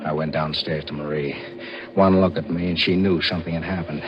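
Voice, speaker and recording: A man's voice: rumbling low, fairly even intonation, fairly quick. An American actor playing a scheming ex-convict forger who tells his own crime in hardboiled 1940s radio thriller style. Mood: grim, brooding. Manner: hardboiled first-person narration and dialogue. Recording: muffled vintage radio recording with music.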